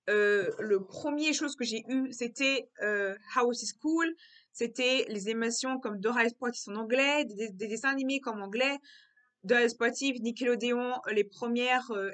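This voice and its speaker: A woman's voice: a little high, fairly bright tone. A young woman vlogging in a casual, reflective monologue, often repeating herself and circling back to her words.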